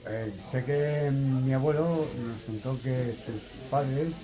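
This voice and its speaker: A man giving spontaneous family testimony, haltingly, repeating words as he searches for them. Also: mid-range voice, crowd noise, low-quality recording.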